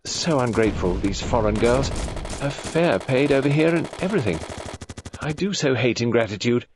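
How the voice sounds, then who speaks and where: A man's voice: medium pitch, expressive, moderate pace, background noise, pitched above the performer's own voice, natural voice. A man voicing a fussy, self-pitying upper-class married woman, plaintive and gushing, in an audiobook.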